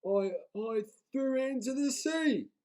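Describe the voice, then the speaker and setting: A man voicing a simple, hungry male troll who talks in a small, timid voice. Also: mellow. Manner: small high voice, drawn-out.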